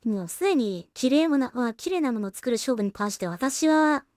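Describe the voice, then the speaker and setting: A woman with a slightly high voice gives a casual work-along commentary. She thinks aloud in an unhurried way, often trailing off into remarks meant for herself. The sound is fairly dry, with little room around the voice. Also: animated, darkish tone, no echo. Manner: unhurried musing with trailing, self-directed remarks. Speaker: solo animation creator and streamer.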